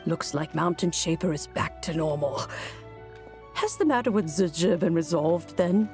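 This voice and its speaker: An actor playing an easygoing, upbeat companion in a game, relaxed and informal. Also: medium pitch, moderate pace, over music, close to an everyday voice. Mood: relieved. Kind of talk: scripted game voice acting.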